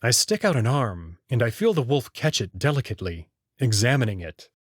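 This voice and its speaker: A male narrator reads a visual novel in the first person. His voice is husky with lively, dramatic intonation, and the recording is dry. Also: medium pitch, fairly quick, no echo.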